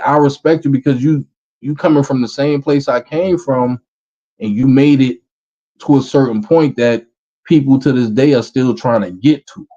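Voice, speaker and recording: A man in his thirties with a New York accent, a rapper answering interview questions in a relaxed way. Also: mid-range voice, lo-fi sound. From Brooklyn, New York, USA. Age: 30s. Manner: relaxed, repeats "feel what I'm saying". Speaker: rapper guest.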